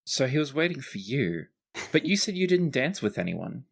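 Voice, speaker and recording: Gallant male companion for tabletop roleplay, played by a man: dry wit, gentle teasing, deliberate phrasing, medium register. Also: mid-range voice, big pitch swings, gravelly, voice pushed up. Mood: gently teasing. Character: wry, gallant male friend, gently teasing, self-mocking. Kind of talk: improvised in-character tabletop roleplay dialogue.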